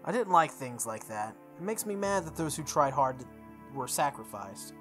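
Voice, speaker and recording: Expressive reader stumbling through game text over music. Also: medium pitch, moderate pace. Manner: stumbles over words and repeats phrases. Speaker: gamer reading a visual novel aloud. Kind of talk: playthrough read-aloud with offhand asides.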